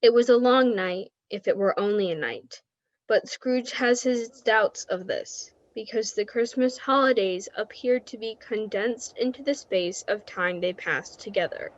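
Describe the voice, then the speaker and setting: A woman's voice: medium pitch, a little slow, no room sound. Dry, slightly dull young female narrator. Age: teenager. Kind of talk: scripted radio play narration.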